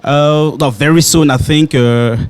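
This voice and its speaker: A man's voice: a little high, steady tone, a little slow, clean tone. A man from Cameroon with a French-tinged Cameroonian accent, answering politely and conversationally as an interview guest. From Cameroon, raised in Douala. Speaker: guest, head teacher and actor. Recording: music in the background. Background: music.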